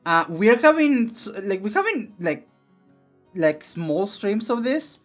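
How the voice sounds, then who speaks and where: A young man with a raspy voice streaming casually, rambling with many fillers and restarts. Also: high-pitched, sing-song, slow, faint music behind. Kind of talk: casual live-stream chat and reading game text.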